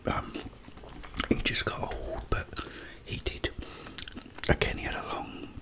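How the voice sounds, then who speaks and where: Slow whispering man. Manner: hushed, unhurried, halting with pauses. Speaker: ASMR creator. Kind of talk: ASMR whispered personal storytelling.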